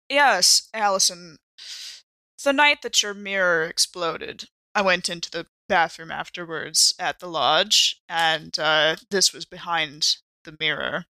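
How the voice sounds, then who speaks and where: A woman speaks with a bright, edged voice and noticeable vocal fry. She is a player in a tabletop role-playing game, improvising conversationally in character. The recording is dry and close. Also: fairly low pitch, dry recording, poor audio.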